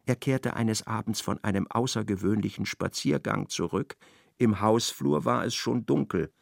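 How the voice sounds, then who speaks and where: A male narrator for a literary radio drama. His voice is fairly low with a creaky grain, recorded dry and close, and he tells the story with measured precision. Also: dry recording.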